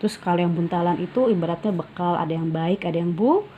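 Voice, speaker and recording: A woman's voice: lowish voice, fast, phone-quality sound, noisy background. A woman reading a storybook aloud and explaining it in a casual, informal way.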